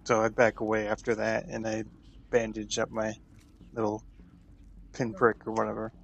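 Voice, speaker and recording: A man's voice: lowish voice, completely flat delivery, moderate pace, creaky. A man chatting casually as a player in a tabletop role-playing game. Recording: faint room noise.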